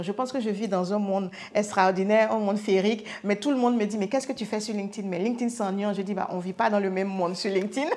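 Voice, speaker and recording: A woman's voice: medium pitch, darkish tone. A woman podcast guest explaining in an enthusiastic, conversational way, with rhetorical questions and repeated words.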